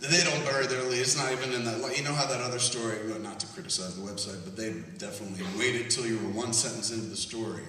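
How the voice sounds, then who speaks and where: A man hosting a live comedy podcast, profane and animated, laughing through his jokes while reading a news story aloud and acting out voices. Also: medium pitch, fast, few pauses. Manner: reads news aloud and acts out quoted voices. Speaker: podcast host. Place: large hall with live audience. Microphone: stage mic heard via handheld camera in the audience. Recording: echoey hall from far off with loud noise.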